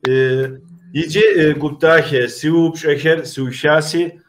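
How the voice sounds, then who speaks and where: A man hosting a casual video interview, speaking in a halting, hesitant way on an online call. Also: on the high side, steady tone, a little hiss or hum. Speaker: host.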